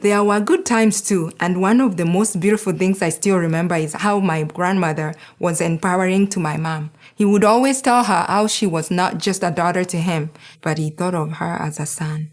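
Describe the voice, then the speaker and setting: A woman's voice: on the low side, moderate pace, hardly any pauses. A woman from Kenya, in her thirties, giving an earnest, heartfelt speech as a board member at a charity gala.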